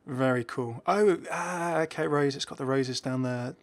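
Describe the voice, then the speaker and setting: A man's voice: mid-range voice, a little slow. A man hosting a gaming video, giving casual commentary and musing aloud in a chatty way. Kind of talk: casual game update commentary.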